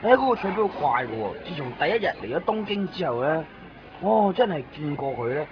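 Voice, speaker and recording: A man's voice: a little high, sing-song, fairly quick. An actor playing a chatty, scatterbrained, gushingly friendly comic man in a radio drama, with a Hong Kong accent and a rambling, exclamatory manner. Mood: gossipy. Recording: muffled radio recording, noisy crowd behind.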